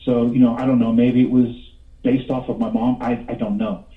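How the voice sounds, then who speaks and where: A man in his fifties telling stories as an interview guest in a halting, rambling way, repeating words as he goes. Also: medium pitch, little pitch movement. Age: 50s. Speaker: radio show guest, paranormal investigator. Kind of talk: casual interview storytelling. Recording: tinny phone line, echoey, distant.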